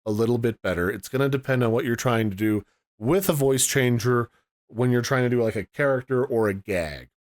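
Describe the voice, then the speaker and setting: A man's voice: mid-range voice, brisk. Casual man reviewing tech. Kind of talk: casual product review and demo.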